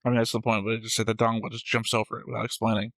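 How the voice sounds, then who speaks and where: A man's voice: on the low side, monotone, racing, vocal fry, fairly bright tone, dry recording, studio quality. A man co-hosting a reaction show, discussing and reading comments in a casual, analytical way with some argument and casual swearing. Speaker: co-host of anime reaction show.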